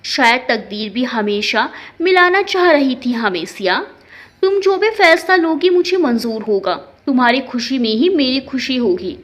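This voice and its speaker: A woman's voice: high voice, animated, unhurried. A woman voicing an earnest, devoted young man in love in a story, with a sincere, pleading manner. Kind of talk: storybook dialogue.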